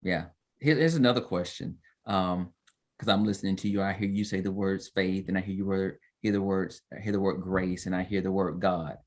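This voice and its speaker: Male host, lowish voice, encouraging interviewer asking open questions. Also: fairly even intonation, brisk, a little mellow. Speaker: podcast host. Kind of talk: interviewing, casual chat.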